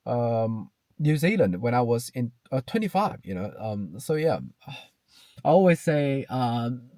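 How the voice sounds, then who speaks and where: A man in his late thirties from China speaks English with a Chinese accent. He talks to the camera in a searching, reflective way, full of ums, restarts and "you know". His medium-pitched voice is recorded close and dry, with no room sound. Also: dark tone, no room sound. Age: 30s. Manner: hesitant, many fillers and restarts, repeats "you know". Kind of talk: reflective personal talk to camera.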